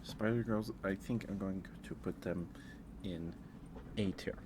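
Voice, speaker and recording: A man hosting an ASMR livestream, chatting casually in a halting, rambling way with pauses and repetitions. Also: sits low, fairly even intonation, background noise. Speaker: livestream host.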